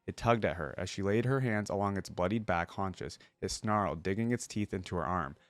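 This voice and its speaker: A man hosting a reaction video, reading lore aloud and adding casual, rambling commentary as he thinks out loud. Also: low voice, brisk, dry recording.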